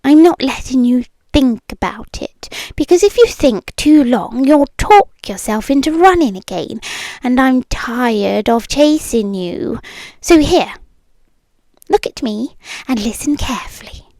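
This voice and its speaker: A British woman playing a possessive, obsessive ex-girlfriend in an intimate ASMR roleplay, speaking softly and coaxingly close to the microphone and often slipping into whispers. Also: high voice, expressive, no echo, low-quality recording. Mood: firm, possessive. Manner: soft, coaxing, slow and deliberate, slipping into whispers. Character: possessive, obsessive yandere ex-girlfriend, tender yet menacing.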